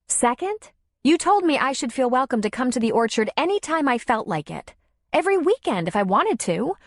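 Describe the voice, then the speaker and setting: A woman's voice: sing-song, moderate pace, no echo, clean studio sound, heavily acted. A woman reading a storybook, voicing a hurt, demanding woman who pleads with her lover in a raised, tearful voice. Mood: indignant. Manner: high, tearful, insistent.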